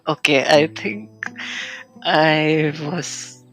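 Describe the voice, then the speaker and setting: A man's voice: on the high side, drawn-out words, a bit bright, faint music behind. A man giving casual live-stream commentary over a game, with brief offhand reactions.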